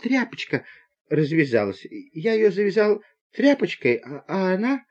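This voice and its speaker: A man voicing a guilty, timid schoolboy near tears, stammering out halting, repeated words in an audiobook story. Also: high-pitched, sing-song, darkish tone, muffled recording, voice pushed up.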